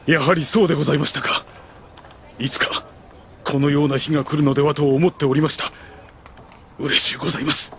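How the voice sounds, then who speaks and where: A man playing a humble, devoted retainer in an anime audio drama, earnest and deeply deferential. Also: little pitch movement, brisk, long pauses, fairly bright tone, slight background noise. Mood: solemn devotion. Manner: deferential, formal.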